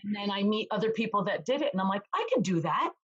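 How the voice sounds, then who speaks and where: A woman real estate agent chatting as an interview guest, with a loud voice, telling stories lively and acting out what others said. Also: medium pitch, fast talker, breathy edge, dull audio. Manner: animated storyteller, acts out quoted voices. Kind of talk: casual interview chat.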